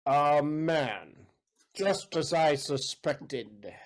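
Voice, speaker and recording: A man's voice: on the high side, lively intonation, drawn-out words. A man acting a jealous, imperious count in a comic period play, haughty and theatrical. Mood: demanding. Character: jealous, imperious count, suspicious of his servant.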